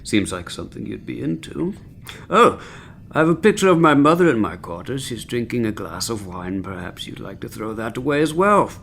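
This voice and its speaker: An actor playing an arrogant, sardonic middle-aged chief medical officer who drinks, with pompous, biting wit, in a sci-fi comedy audio drama. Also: male voice, mid-range voice, big pitch swings, moderate pace, creaky, fairly bright tone, a little hiss or hum. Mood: sarcastic. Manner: biting, droll sarcasm with pompous wording.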